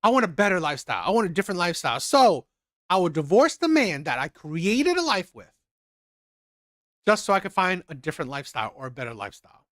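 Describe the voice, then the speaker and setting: A man's voice: sits high, sing-song, fast talker, a bit bright, no echo, clean studio sound. A man hosting a commentary channel, giving an opinionated monologue and repeating his points for effect. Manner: emphatic, repeats phrases for effect.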